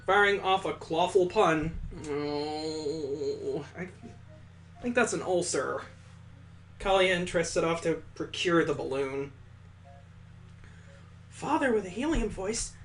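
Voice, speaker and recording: A game playthrough host of unclear gender, reading visual novel narration aloud in an expressive, jokey way with casual asides. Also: mid-range voice, slow pace.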